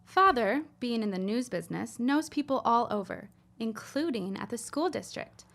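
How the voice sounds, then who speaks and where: A woman's voice: on the high side, lively intonation, moderate pace, vocal fry, a bit bright. An actress playing an eager, helpful young woman, earnest and emphatic, in a period audio drama. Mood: excited, earnest. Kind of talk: period radio-style audio drama acting.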